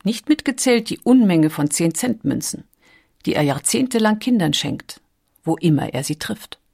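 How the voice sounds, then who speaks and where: A woman reading scripted documentary narration with a measured, emphatic storytelling delivery. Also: lowish voice, big pitch swings, gravelly, a little mellow, breathy edge.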